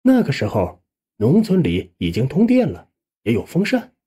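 Dark, husky storyteller with swooping pitch. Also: huge pitch swings, moderate pace. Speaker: audiobook narrator. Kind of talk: scripted narration of a suspense ghost novel.

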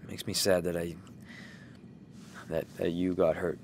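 A man playing a weary, sardonic single father, gruff but caring, who swears when defensive. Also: sits low, little pitch movement, measured pace, darkish tone, a little hiss or hum.

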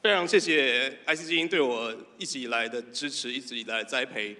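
A middle-aged man with a Taiwanese Mandarin accent giving halting, moved thanks as an award winner. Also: mid-range voice, bright, forceful tone. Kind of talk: acceptance speech. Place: large indoor theatre stage. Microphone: stand microphone. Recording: reverberant theatre stage sound.

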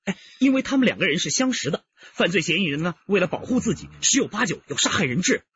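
An actor playing an eager, inquisitive young detective with a raspy voice in a radio drama. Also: male voice, high voice, big pitch swings, quick pace, a bit bright, dull audio, exaggerated voice. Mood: eager, concerned.

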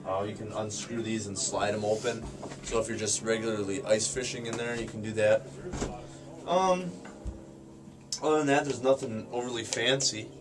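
A young American man casually explaining things in a matter-of-fact, tongue-in-cheek way, like a guide on a tour. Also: on the low side. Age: young adult. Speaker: fisherman guest showing his ice shack. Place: small wooden ice fishing shack. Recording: music playing behind the voice. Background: guitar music.

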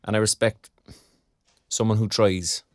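An actor playing a frank, sardonic young Irish graduate in an audio drama, speaking colloquially. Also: male voice, lowish voice, flat delivery, measured pace, no room sound, clean studio sound, natural voice. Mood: reflective, frank. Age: young adult. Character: young underemployed arts graduate, frank and wry. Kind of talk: naturalistic audio drama dialogue.

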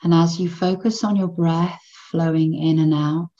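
A woman guiding a children's meditation in a gentle, soothing manner, in measured phrases with long pauses between them. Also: lowish voice, fairly even intonation, dull audio. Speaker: meditation guide.

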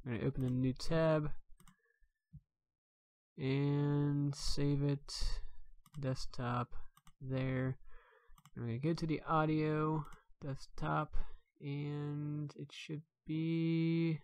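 A man's voice: a little high, little pitch movement, extremely slow, darkish tone, no room sound. A man giving casual, unscripted commentary as he previews something in a video game for viewers. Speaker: streamer, host.

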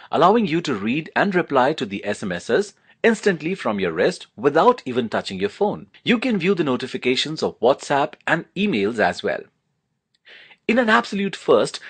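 Husky male product demonstrator, lively intonation. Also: mid-range voice. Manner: instructional, step-by-step explaining. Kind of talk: scripted product demonstration narration.

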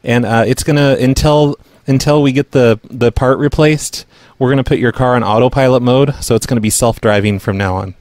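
A man talks casually as the host of a prank call show. His voice sits at an average pitch and is recorded dry, with no room sound. He sounds matter-of-fact throughout. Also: a little fast, few pauses, a little mellow, dry recording. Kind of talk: casual podcast talk and prank calling.

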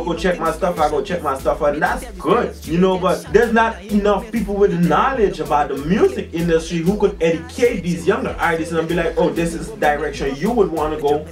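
A man's voice: sits high, recorded from a distance. A young man from Guyana with a Guyanese accent, talking casually and animatedly to camera as a music promoter in a dim studio. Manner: animated, gestures constantly with both hands. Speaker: music promoter and channel host.

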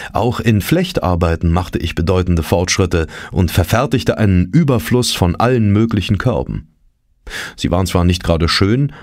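A man reading a literary audiobook as scripted first-person narration, in measured, careful phrases. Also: sits low, a little mellow, dry recording, clean studio sound.

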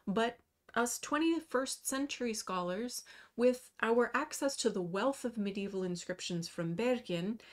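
A woman in her thirties giving a scripted lecture over slides, reading carefully from a small room at home. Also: mid-range voice. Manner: measured, careful reading with slow emphasis on terms. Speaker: runology lecturer. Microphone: webcam video in the corner.

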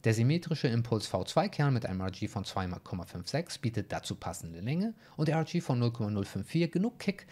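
A man's voice: mid-range voice, big pitch swings, brisk, few pauses, darkish tone. A young man teaching bowling in a methodical, technical tutorial, recorded at a desk mic in a small home room. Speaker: bowling coach. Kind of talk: explanatory tutorial. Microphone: desk mic in front.

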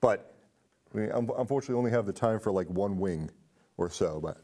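A man's voice: fairly low pitch, brisk, mellow. A man around his forties, hosting a casual film chat in an indoor studio. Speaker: talk show host. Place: indoor studio set with curtains.

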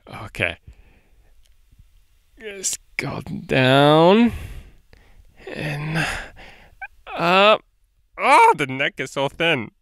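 A man's voice: fairly high pitch, wildly swooping pitch, extremely slow, long pauses, fairly bright tone, no echo. A man, perhaps in his thirties, hosting a casual step-by-step drawing lesson for children in a playful way, talking to himself as he draws. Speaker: drawing tutorial host for children.

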